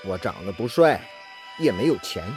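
An earnest young technician in a radio drama. His delivery swings widely in pitch, eager and a little shy. Music plays faintly behind him. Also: big pitch swings, normal speed. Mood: bashful, sincere. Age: young adult. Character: earnest, devoted young male steel-mill technician. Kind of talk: Chinese radio drama acting.

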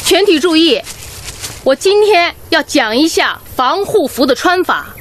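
A woman's voice: high voice, expressive, measured pace, slight background noise. A woman acting an earnest medical trainer briefing her team in a Chinese radio drama. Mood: earnest, instructive.

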